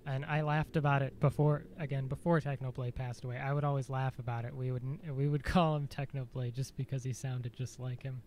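A man streaming a game, chatting casually in a dry, monotone voice, rambling with fillers and self-corrections. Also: medium pitch, a little fast, hardly any pauses, a little hiss or hum. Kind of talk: casual live-stream chat.

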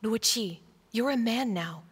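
Actress doing a steadfast religious mom, earnest stage drama, voice a bit high, echoing hall. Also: female voice, measured pace, breathy edge. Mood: earnest, pleading. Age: middle-aged. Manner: earnest, pleading, preaching. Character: devout middle-aged mother, earnest and steadfast. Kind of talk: earnest stage play acting, English dub. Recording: reverberant stage hall, music behind.

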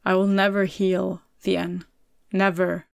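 A woman's voice: on the low side, monotone, unhurried, clean tone, no room sound, clean studio sound. A woman voicing a stoic, heartbroken army captain, speaking in short, weary lines in a storybook reading. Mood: sorrowful.

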